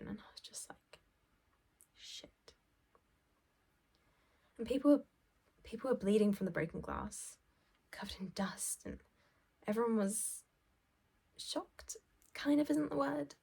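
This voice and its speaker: A woman performing a stage monologue as a self-absorbed, well-off young office worker, shaken, halting and sweary as she recounts events. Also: medium pitch, lively intonation, mellow, full character voice. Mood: agitated, overwhelmed. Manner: halting, sweary, breathy drops into near-whisper. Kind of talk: dramatic stage monologue, conversational first-person recounting.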